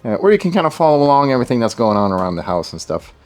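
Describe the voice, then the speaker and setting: A man's voice: mid-range voice, sing-song, racing. A man from Sweden, a native Swedish speaker, chatting casually to viewers while he works on a car project in his garage and thinks aloud. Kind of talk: casual garage work commentary. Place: home garage.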